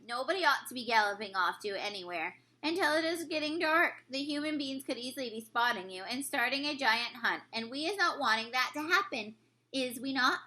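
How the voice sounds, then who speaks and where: A woman reader plays a huge man-eating giant, the bully of a children's storybook. He booms out taunts in garbled grammar with menace. The tone is forceful, and pitched high for such a brute. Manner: booming, taunting, mangled grammar.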